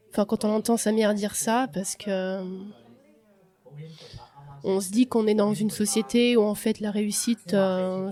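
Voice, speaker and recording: A young woman from France giving a reflective, hesitant personal testimony on a podcast, with many pauses and restarts. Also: medium pitch, warm tone. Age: young adult. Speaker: podcast guest.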